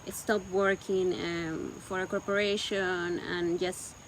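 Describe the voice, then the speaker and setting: A woman's voice: lowish voice, little pitch movement, slow, a little hiss or hum, low-quality recording. Woman, searching for words. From Peru. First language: Spanish. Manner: searching for words, repeating herself. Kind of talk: casual conversation.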